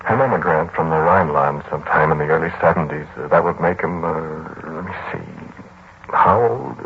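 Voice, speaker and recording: A low-pitched, haunted man confides his story in 1940s radio drama style. He is halting and conversational, weary and defensive. The old recording is dulled, with clear hiss. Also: lo-fi sound. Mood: brooding, reflective. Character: weary, defensive male narrator recounting a haunted house.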